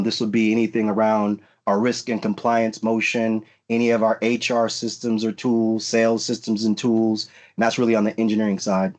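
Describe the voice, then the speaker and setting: Low-voiced man giving career advice. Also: darkish tone. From United States. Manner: frequent fillers and restarts, earnest advice-giving. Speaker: panelist at a recruiting event. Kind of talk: virtual panel talk.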